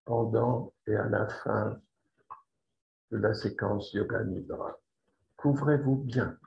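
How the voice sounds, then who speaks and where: A man leading a guided yoga relaxation as the instructor, speaking deliberately and repeating phrases for emphasis. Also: on the low side, steady tone, gravelly, darkish tone. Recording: roomy echo, slightly dull sound.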